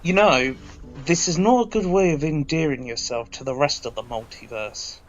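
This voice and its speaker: A man's voice: fairly high pitch, moderate pace, close to an everyday voice. A man playing a sceptical, disapproving alien time traveller in a sci-fi audio drama, wry and questioning. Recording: muffled lo-fi, faint noise.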